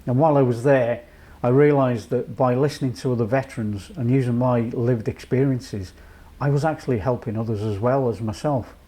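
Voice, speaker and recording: A man from North Wales in his late fifties, a charity chief executive giving a measured, reflective personal account with many pauses. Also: mid-range voice, fairly even intonation, warm tone. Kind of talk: personal testimony to camera.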